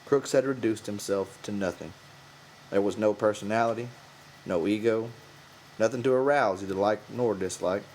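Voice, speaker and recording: A man's voice: on the low side, moderate pace, darkish tone, a little hiss or hum, rough recording. A man narrating a novel from a script as an audiobook reader.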